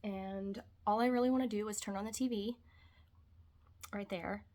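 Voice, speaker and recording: A woman's voice: medium pitch, fairly even intonation, moderate pace. Congested woman chatting from her couch. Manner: rambling, chatty, trailing off into quiet asides. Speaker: vlogger. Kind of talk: casual chat. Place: home, on the couch.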